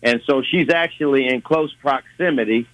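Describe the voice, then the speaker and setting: A man is a guest on a radio show and talks in an easygoing, halting way with frequent ums. He has a mid-range voice on a dry, close studio mic. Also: mid-range voice, no room sound, dull audio. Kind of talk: casual radio interview.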